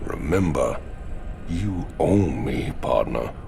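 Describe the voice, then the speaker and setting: A very deep, gravelly Southern outlaw. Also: male voice, expressive, takes it slowly, dark tone, faint music behind, heavily acted. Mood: bitter. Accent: Southern American. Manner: drawling folksy taunting. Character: gruff hulking ex-convict space marine, cynical and menacing. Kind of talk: cinematic video game acting.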